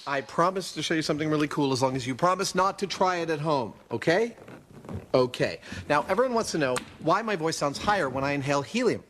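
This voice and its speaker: A man's voice: on the high side, lively intonation, quick pace, a bit bright. A man presenting a science demonstration in a playful, jokey showman manner.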